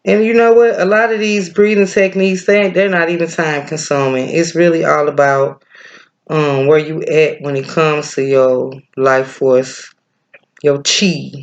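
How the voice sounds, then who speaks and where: A man with a Midwestern accent giving a casual breathing lesson, laid-back and chatty, often pausing with fillers as he searches for a name. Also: on the high side, level tone. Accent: Midwestern American. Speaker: breathwork and yoga teacher. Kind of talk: casual spiritual teaching, rambling.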